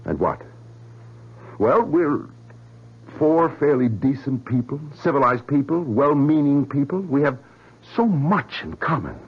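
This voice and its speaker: A husky, anguished, idealistic scientist. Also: male voice, medium pitch, sing-song, a little slow, full character voice. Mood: earnest appeal. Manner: earnest, theatrical, emphatic. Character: anguished, idealistic male weapons scientist, pleading and defiant. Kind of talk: 1970s radio drama acting. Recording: dull vintage radio recording, faint music.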